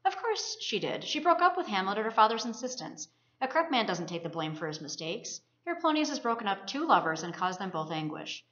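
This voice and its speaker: Fast-reading woman in a roomy, muffled recording. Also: medium pitch, very animated, a bit bright. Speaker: college student presenter. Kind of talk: scripted literary discussion, reading aloud.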